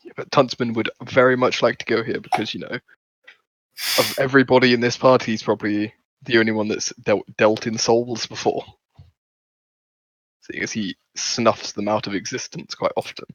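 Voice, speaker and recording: A man's voice: mid-range voice, little pitch movement, normal speed, no echo. A man playing in a tabletop game, talking casually and asking lots of questions. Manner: chatty, asks lots of questions. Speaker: player. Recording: dull lo-fi voice chat mic.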